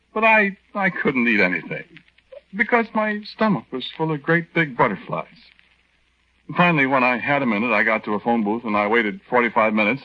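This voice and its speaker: A man's voice: mid-range voice, natural voice. A man playing a meek, earnest young American army private in a 1940s radio drama, hesitant and stammering, confiding his story with folksy exclamations. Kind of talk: 1940s radio drama acting, confiding first-person narration. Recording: dull, band-limited 1940s radio recording.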